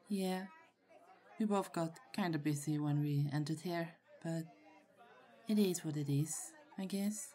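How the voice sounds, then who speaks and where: A young woman playing a shy, friendly college student in an ASMR roleplay, speaking in a hesitant, halting, giggly way. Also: on the low side, warm tone. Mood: friendly, flustered. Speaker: voice actor in roleplay audio. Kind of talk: ASMR roleplay, acted casual conversation. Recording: faint crowd chatter behind. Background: party crowd murmur.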